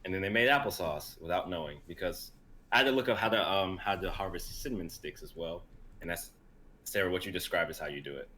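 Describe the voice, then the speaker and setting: A man's voice: low voice, quick pace, bright, forceful tone, poor audio. A man in his twenties who chats casually and reads scripts aloud informally on an online stream. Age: 20s. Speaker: writer and reader on a streamed script workshop.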